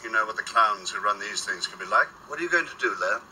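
Curt sarcastic boss officer in a radio play, cool and callous, bright edge, distant on a call. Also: male voice, fairly low pitch, lively intonation, fast, slight background noise. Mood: sardonic, impatient. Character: curt, sardonic male superior officer, coolly callous. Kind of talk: naturalistic radio drama acting.

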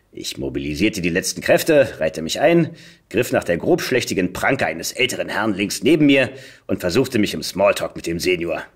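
Animated man reading satire aloud. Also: fairly low pitch. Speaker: podcast guest, voice actor. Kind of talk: expressive reading aloud of a satirical first-person story.

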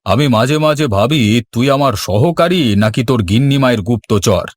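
A man voicing an indulgent, blustering village landlord who is henpecked, in an animated story. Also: medium pitch, fairly quick, close to an everyday voice. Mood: amused, wary. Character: indulgent male village landlord, nervous of his wife. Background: faint background music.

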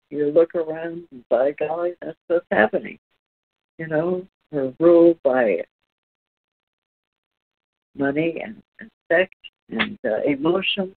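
A man with a slightly high voice speaks very slowly, breaking his sentences into deliberate pieces as he reads and reflects. The sound is narrow and thin, like a phone line. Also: vocal fry. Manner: halting, deliberate phrasing with frequent breaks. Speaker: presenter reading aloud and discussing. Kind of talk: measured reading aloud from a spiritual book, then discussion.

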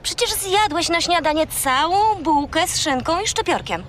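Bossy girl for children's audio drama: high, animated, exasperated, forever correcting. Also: normal speed, slight background noise. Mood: exasperated, scolding. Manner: scolding, correcting. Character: bossy, exasperated young girl scolding her furry companion. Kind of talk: children's radio play acting.

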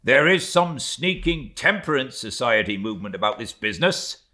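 A man reading a novel aloud, voicing a bluff, excitable ship's mate who mocks loudly. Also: medium pitch, normal speed, voice pushed up. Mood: indignant mockery. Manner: loud, blustering, sarcastic questioning. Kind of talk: audiobook character voicing.

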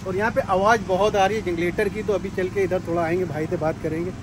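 An upbeat man guides his viewers around a harbour and a boat at night, chatting and asking questions into his phone. His voice sits fairly high. Loud generator and harbour noise run underneath. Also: steady tone, quick pace. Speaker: vlogger. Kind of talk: walking vlog with street interviews.

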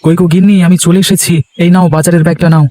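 A man's voice: high voice, little pitch movement, racing, mellow, close to an everyday voice. A man voicing a dutiful, good-natured village nephew and husband in a cartoon. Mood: explaining. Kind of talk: Bengali cartoon voice acting.

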